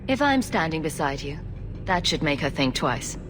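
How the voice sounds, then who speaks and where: An actress playing a diplomatic, composed adventurer heroine in a video game, speaking directly and steadily. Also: female voice, sits low, big pitch swings, moderate pace. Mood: calm, supportive. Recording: reverberant hall, faint noise.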